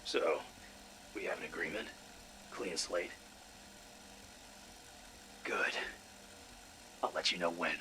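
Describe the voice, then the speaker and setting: Irritable low-voiced scheming scientist, noisy recording. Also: male voice, slow pace, long pauses. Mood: sly, conspiratorial. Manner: sarcastic, exasperated, profane. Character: foul-mouthed, irritable, scheming male scientist doctor. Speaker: voice actor in a game audio log. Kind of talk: dramatic game audio log acting.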